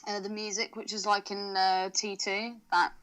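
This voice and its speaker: A woman's voice: mid-range voice, flat delivery, moderate pace, a bit bright, clear, resonant tone. A British woman co-hosting a podcast with cheeky, teasing, sweary banter. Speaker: podcast co-host. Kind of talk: casual banter.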